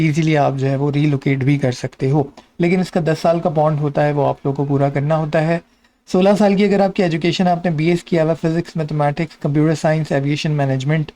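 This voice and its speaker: A man's voice: fairly high pitch, dark tone. A man with a Pakistani accent giving an informal, instructive explainer, mixing in many English terms as he walks through details. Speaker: host of an exam and job guidance channel.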